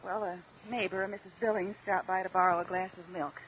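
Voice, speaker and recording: An American woman playing a deferential, halting widow giving testimony in a mid-century radio drama. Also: lowish voice, fairly even intonation, fairly bright tone, a little hiss or hum, natural voice. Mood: hesitant, polite. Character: polite, hesitant widowed wife testifying on the witness stand. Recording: dull, lo-fi old radio recording.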